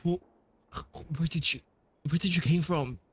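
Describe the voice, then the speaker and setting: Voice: mid-range voice, a little slow, slight background noise. A game streamer giving casual playthrough commentary, hesitant and musing aloud.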